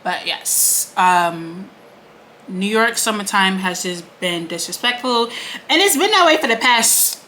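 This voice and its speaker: A woman's voice: mid-range voice, lively intonation, a little slow, bright, forceful tone, a little hiss or hum. Chatty woman in her late twenties. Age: late 20s. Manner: chatty, frequent "like" and "um" fillers. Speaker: beauty vlogger. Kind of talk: casual makeup tutorial chat. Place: home, indoors.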